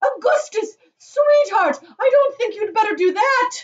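A woman voicing an alarmed mother in a children's storybook, shouting and scolding shrilly. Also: very high voice, animated, unhurried, lo-fi sound, voice pushed up.

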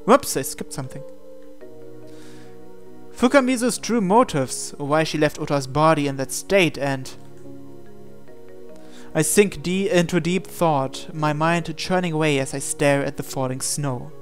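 A man reading a visual novel aloud as expressive narration, in a measured, dramatic way. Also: on the high side. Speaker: gaming channel host reading aloud. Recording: voice over game music. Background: game music.

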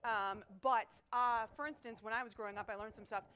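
A middle-aged woman preaching a chapel sermon to students in an auditorium, emphatic and animated, asking rhetorical questions, picked up by a distant camera. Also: a little high, a little fast, smooth. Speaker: chapel speaker. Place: school chapel auditorium with stage.

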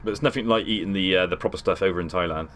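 A man's voice: low-pitched, very fast, a bit bright. A young man chatting casually while streaming a shooter game and answering his chat. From United Kingdom. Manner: chatty, laid-back, reads and answers chat. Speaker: gaming livestreamer. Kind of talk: casual live commentary over gameplay.

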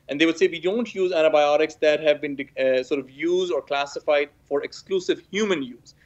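A man from Pakistan in his forties talks through a science question from a small room at home. His voice sits a little high and comes through a muffled, lo-fi video-call mic. He explains in a measured way, full of small hedges and restarts. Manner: measured, hedging with "sort of", frequent restarts. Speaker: guest expert, professor. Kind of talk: explanatory interview talk. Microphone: laptop webcam video call. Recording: dull lo-fi video call audio.

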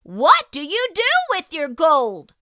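A woman's voice: high voice, huge pitch swings, slow, sharp tone, dry recording, clean studio sound, heavily acted. A woman voicing a small, curious, childlike spot creature who eagerly asks lots of questions in a children's storybook read-aloud.

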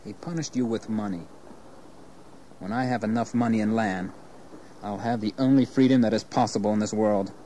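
An actor playing an ambitious, proud young English gentleman settler in a historical audio drama. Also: lowish voice, steady tone, moderate pace, pauses often, close to an everyday voice. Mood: resolute, proud. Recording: noisy 1980s audio drama tape.

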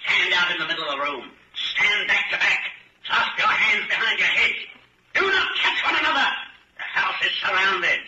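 An actor playing a cold, mocking surveillance telescreen in a 1940s radio drama, giving clipped commands and taunts through an echoing loudspeaker effect. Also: male voice, high voice, lively intonation, moderate pace, dull audio. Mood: menacing command. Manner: clipped commands, taunting echo of others' words. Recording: echoing, distant, very noisy old radio recording.

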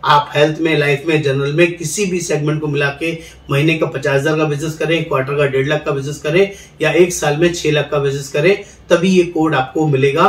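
A middle-aged man sits in a studio chair and pitches straight to camera in a persuasive, salesy way, calling his viewers friends. His voice sits a little high. He sounds set back from the microphone, in a room with a noticeable echo. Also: fairly even intonation, a little fast. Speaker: insurance agent recruiter, channel host. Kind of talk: direct-to-camera promotional explainer. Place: indoor studio, seated in office chair. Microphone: lapel mic. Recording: roomy echo, somewhat distant mic.

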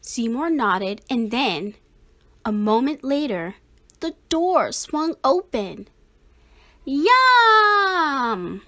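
A woman's voice: fairly high pitch, animated, slow. A woman narrating a children's storybook as a read-aloud. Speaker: storybook reader.

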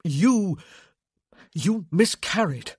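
A woman reading a novel, voicing a guilt-ridden, defensive man who talks in a terse, halting, desperate way. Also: fairly low pitch, animated, darkish tone, breathy edge, dry recording, clean studio sound, heavily acted. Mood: defensive, tense.

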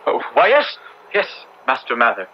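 An actor playing an anxious, compassionate parson in a radio drama, speaking earnestly and urgently in formal, old-fashioned English. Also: male voice, medium pitch, wildly swooping pitch, moderate pace. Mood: nervous pleading. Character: large, anxious, compassionate male parson helping a fugitive. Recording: muffled, very noisy old radio recording.

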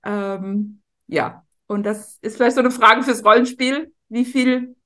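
A woman's voice: a little high, fairly even intonation, unhurried, sharp tone. Reflective middle-aged woman chatting. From Germany. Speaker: guest, missionary NGO leader. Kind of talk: casual discussion.

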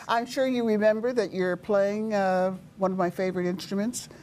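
A woman's voice: unhurried, slight background noise. An older woman hosting a studio talk show interview, laughing often as she chats with her guests. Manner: laughs often, lively animated pitch. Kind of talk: warm studio interview chat. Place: TV studio with dark curtains.

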